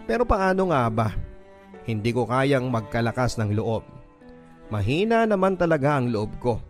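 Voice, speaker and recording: A man's voice: medium pitch, very animated, normal speed. A Filipino man hosting a radio drama, reading a listener's first-person story as dramatic, earnest, sentimental narration. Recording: music bed under the voice. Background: music.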